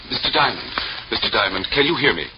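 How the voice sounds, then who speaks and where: A man's voice: mid-range voice, big pitch swings, quick pace, lightly acted. A man playing a prim, fussy client in an old radio detective drama. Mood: fussy concern. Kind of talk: 1950s radio drama acting. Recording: muffled, noisy old radio recording.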